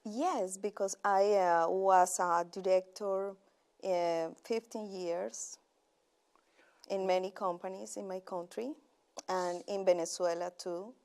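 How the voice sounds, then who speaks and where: Slow, halting Colombian-accented woman. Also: mid-range voice, steady tone, darkish tone. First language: Spanish. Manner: halting, searching for words in English. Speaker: interview guest, committee member. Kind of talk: conversational interview answers.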